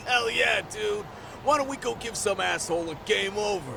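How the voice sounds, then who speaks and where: A crude male street thug from a video game. His voice is high and crackly, rowdy and sneering, with clear outdoor traffic ambience. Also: moderate pace. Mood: rowdy, spiteful. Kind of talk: English game dub acting.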